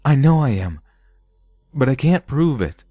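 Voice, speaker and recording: A man's voice: medium pitch, sing-song, measured pace, dark tone, no echo, studio quality, faint music behind, voice pushed up. A man voicing a frantic, pleading man accused of being a robot in a science fiction story. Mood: desperate, insistent. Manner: pleading, insistent. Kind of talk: dramatised science fiction audiobook.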